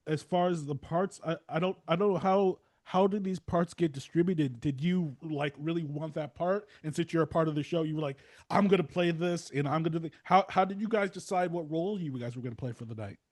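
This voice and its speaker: Male podcast host with a high-pitched voice. Loose, enthusiastic, halting phrasing, like an informal chat with guests. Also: a little mellow. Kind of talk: casual, rambling interview chat.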